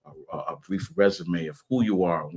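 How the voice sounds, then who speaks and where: A man's voice: sits low, brisk, darkish tone, slight background noise. A man hosting a casual gospel music interview, folksy and emphatic, often repeating his phrases. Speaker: talk show host.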